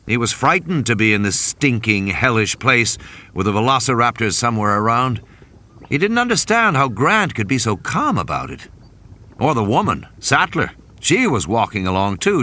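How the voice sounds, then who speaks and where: Male audiobook narrator with lively intonation. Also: lowish voice. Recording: sound effects and vehicle ambience behind. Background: vehicle and ambient sound effects.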